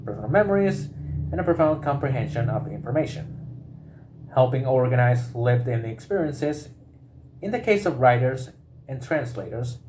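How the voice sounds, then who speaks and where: Male reader, mid-range pitch, prepared informational script, halting delivery with repeated words. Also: a little mellow, a little hiss or hum. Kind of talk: reading a prepared informational text aloud.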